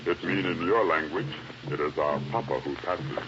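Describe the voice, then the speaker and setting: An actor playing a huge, loyal Haitian guide with a Haitian accent, speaking simple broken English in an old radio drama. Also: male voice, rumbling low, moderate pace, loud music behind the voice. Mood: calm, loyal. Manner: broken English, speaks of himself in third person. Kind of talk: 1950s radio drama acting. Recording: dull, noisy old radio recording.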